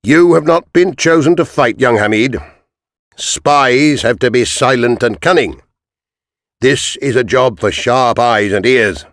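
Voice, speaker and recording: A man's voice: medium pitch, measured pace, a bit bright, dry recording, studio quality, pitched above the performer's own voice, lightly acted. A man reading a storybook aloud, voicing a stern male army captain who gives firm orders. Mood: commanding.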